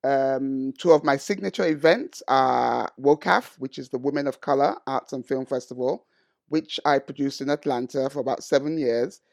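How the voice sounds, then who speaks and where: A woman co-hosting a podcast, teasing and animated in casual chat. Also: extremely low pitch, normal speed, creaky, dry recording, studio quality. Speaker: podcast co-host.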